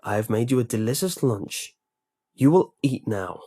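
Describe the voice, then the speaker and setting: A man's voice: medium pitch, very animated, moderate pace, mellow. A man voicing a bitter, menacing female school lunch lady who speaks in clipped, broken English with a put-on accent. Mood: commanding. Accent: put-on foreign accent. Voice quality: clipped, resentful, broken grammar.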